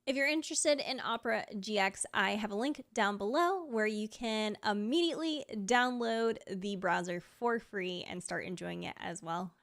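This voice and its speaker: A woman's voice: mid-range voice, normal speed, few pauses, fairly bright tone. Casual, chatty woman streamer. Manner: conversational, peppers talk with "like" and small laughs. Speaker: video creator and streamer presenting a sponsored ranking video. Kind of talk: casual chatty vlog talk to viewers.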